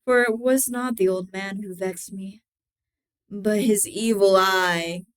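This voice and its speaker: Woman playing cunning mad murderer, gothic storybook horror, smooth, very slow, deliberate, hushed then emphatic, theatrical. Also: medium pitch, steady tone, pitched below the performer's own voice, lightly acted. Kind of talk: dramatic horror story reading.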